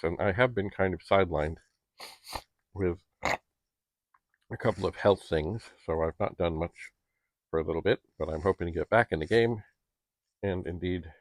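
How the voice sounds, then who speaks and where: A man's voice: low-pitched, no echo. A middle-aged man talking casually to camera in a rambling, chatty, politely old-fashioned way, as a home vlogger. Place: basement at home.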